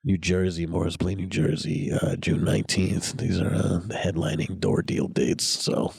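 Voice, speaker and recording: A man with a very deep, gravelly voice chats in a laid-back, low-key way as a comedian guest. He sits in a parked car right up on the mic, so the sound is close and dry. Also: fairly even intonation, moderate pace, no room sound. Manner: laid-back, low-key, self-described non-yeller. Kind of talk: casual chat.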